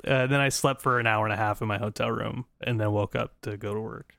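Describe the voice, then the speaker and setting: A man's voice: lowish voice, steady tone, fast talker, vocal fry, pushed and bright, no echo, clean studio sound. An American man co-hosting a podcast, telling a travel story in a rambling, laughing way with lots of restarts. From United States. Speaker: podcast co-host. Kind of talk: casual storytelling chat.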